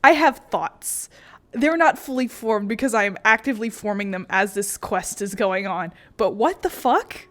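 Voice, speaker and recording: A young adult woman giving casual, opinionated commentary as she streams a game, blunt, exasperated and quick to swear. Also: mid-range voice, animated, fairly bright tone. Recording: game music under the voice. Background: music.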